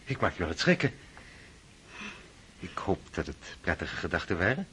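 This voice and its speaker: A smooth, flattering young officer in a radio play. He speaks in a courtly, ingratiating way, with a lowish voice that rises and falls in lively swings. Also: normal speed, a little hiss or hum.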